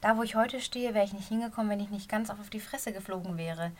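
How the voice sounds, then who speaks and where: Hoarse woman with a cold, encouraging. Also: mid-range voice, steady tone, fast. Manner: earnest, encouraging, talks straight to the listener. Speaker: running coach and podcast host. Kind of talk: casual podcast monologue. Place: quiet corner of a bedroom at home.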